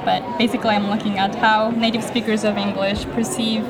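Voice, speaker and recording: A young woman who grew up in Japan, a graduate student, speaking softly and hesitantly in a casual interview. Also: mid-range voice, level tone, fairly quick. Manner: soft, hesitant, light laughs. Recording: loud crowd noise behind. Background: crowd chatter.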